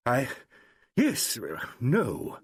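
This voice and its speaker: A man's voice: mid-range voice, huge pitch swings, very slow, husky, dry recording, studio quality, full character voice. A man voicing a poised but tense grown actress in a novel, speaking carefully under strain. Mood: flustered.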